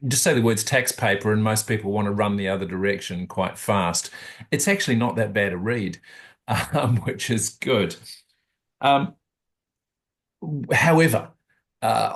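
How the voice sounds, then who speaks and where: A man in his fifties giving a measured, earnest briefing on a video call. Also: lowish voice. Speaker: charity sector commentator. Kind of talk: webinar briefing.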